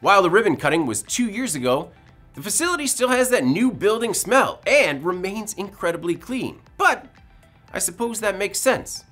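Male news presenter, forties, animated intonation, measured scripted read, faint music bed. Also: sits high. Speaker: video news presenter, editorial director. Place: indoor studio.

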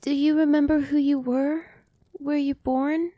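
A woman playing a gentle, reassuring young vampire. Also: high-pitched, level tone, no echo. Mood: soothing. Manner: soft, slow and reassuring, dropping to whispers. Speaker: voice actress. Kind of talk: ASMR roleplay.

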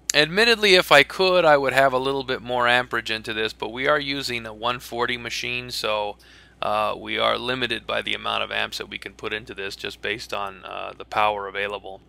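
A man who teaches welding narrates a voice-over of his own welding footage. He explains in a plain, practical way and often pauses with "uh". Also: mid-range voice, gravelly, sharp tone, clean tone. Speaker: welding instructor.

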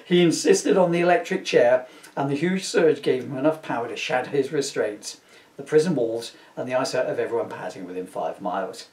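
A middle-aged man narrating a comic storybook at home with casual asides, amused and expressive. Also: mid-range voice, a little fast. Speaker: author reading aloud. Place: small living room at home. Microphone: camera a few metres away. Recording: roomy, slightly distant camera mic.

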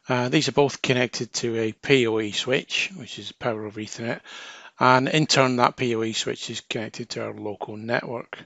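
A man presents a hands-on tutorial in an informal, plain-spoken way, one step at a time. His voice is crisp-edged and bright with noticeable creak, and the sound is dry with little room in it. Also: mid-range voice, no echo. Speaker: technical trainer.

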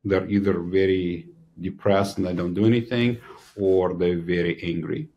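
Man, low voice, podcast host. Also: normal speed, highs cut off. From Ukraine. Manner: animated, explanatory. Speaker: physician host. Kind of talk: interview conversation. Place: small studio room with a banner. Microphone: desk podcast mic.

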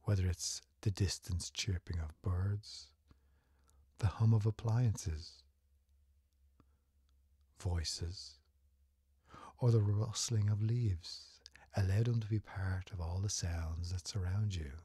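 Deep, breathy man guiding a slow meditation. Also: no room sound, clean studio sound. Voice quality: breathy, husky, often near-whispered. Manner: soothing, gentle, unhurried. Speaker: meditation guide. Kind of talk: guided meditation narration.

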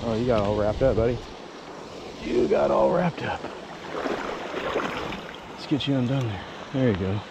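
Casual American male fishing vlogger, young adult, unhurried delivery in short exclamations, recorded outdoors with plenty of river noise. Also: medium pitch, creaky. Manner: talks to himself and the fish in short exclamations. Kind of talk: casual off-the-cuff fishing vlog chat. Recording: loud rushing river water noise outdoors.

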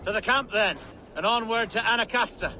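An actor playing an earnest, courteous Anglo-Saxon nobleman and war leader in a video game, with a formal, measured manner. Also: sits high, sharp tone, slight background noise, low-quality recording. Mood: eager, resolute. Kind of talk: video game cutscene acting.

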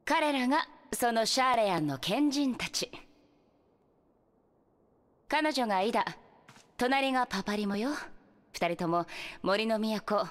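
A woman voicing a calm young leader of an adventurers' order in a Japanese video game, measured and explanatory. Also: on the high side.

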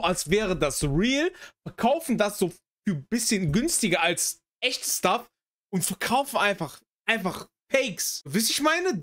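A man's voice: high voice, bright, forceful tone. A young man giving casual, opinionated reaction commentary in emphatic slang peppered with English phrases. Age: young adult. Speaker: reaction video host. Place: small home gaming room.

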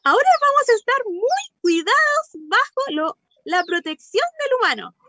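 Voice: extremely high pitch, sing-song, normal speed, pushed and bright, slight background noise, exaggerated voice. A performer voicing a chirpy little bird that explains things and repeats itself in an improvised radio play. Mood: eager, reassuring. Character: little bird explaining what it sees from above. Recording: dull video call audio.

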